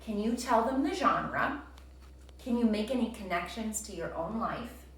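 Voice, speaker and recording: A woman's voice: medium pitch, lively intonation, measured pace. A woman who sounds like a teacher, narrating a storybook and speaking directly to young listeners. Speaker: teacher reading aloud to children. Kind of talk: storybook narration and comprehension prompts. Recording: roomy, distant, faint background noise.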